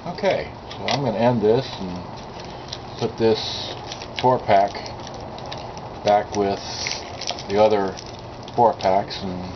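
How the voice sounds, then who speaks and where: Slow, rambling man on a lo-fi camera. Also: on the low side. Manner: rambling, hesitant, many fillers and restarts. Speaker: vlogger unboxing cassette tapes. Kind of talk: casual unscripted unboxing commentary. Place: outdoor table by a sunny window. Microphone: built-in mic of a small digital camera. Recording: dull lo-fi camera audio with background noise.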